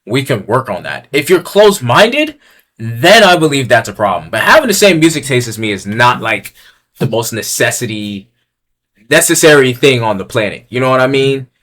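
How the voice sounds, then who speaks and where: A young man with a lively, bouncing voice gives blunt, profanity-heavy opinions. He swings from low mutters to loud outbursts as he reads each tier-list item aloud and riffs on it. The delivery is casual and unscripted. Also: moderate pace. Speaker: streamer ranking items on a tier list. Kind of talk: casual commentary.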